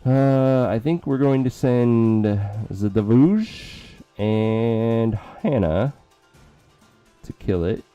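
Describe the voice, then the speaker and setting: A man casually commentating a strategy game with joking asides, his voice going hoarse. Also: mid-range voice, lively intonation, drawn-out words, mellow, dull audio, soft background music. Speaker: let's play commentator.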